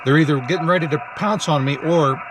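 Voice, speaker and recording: A man with a slightly high voice works an amateur radio from a car. He moves between casual vlog narration and clipped phonetic-alphabet calls. Clear background noise sits behind him. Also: fairly quick. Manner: spells out call signs and numbers in phonetic alphabet. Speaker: amateur radio operator and vlogger. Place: inside a car, mobile radio setup.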